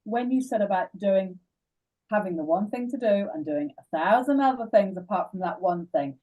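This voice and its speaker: A woman's voice: mid-range voice, lively intonation, moderate pace, narrow, tinny audio. A woman hosting an interview, an English teacher asking thoughtful questions. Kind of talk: interviewing a guest. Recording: distant mic.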